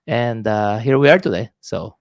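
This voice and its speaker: A man's voice: lowish voice, expressive, normal speed, creaky, dry recording, clean studio sound. A man from Puerto Rico with a Puerto Rican accent, talking as a podcast guest in a thoughtful, hesitant way with many fillers. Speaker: guest, real estate investor. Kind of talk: casual podcast interview.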